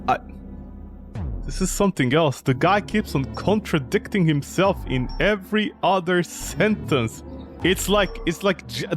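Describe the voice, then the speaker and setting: A man with a high voice delivers game commentary over faint music. His pitch swings widely as he reads story text aloud and picks it apart. His manner is mocking and incredulous. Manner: incredulous, animated, mocking reactions. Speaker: video game streamer. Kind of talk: gameplay commentary, reading visual novel text aloud and reacting.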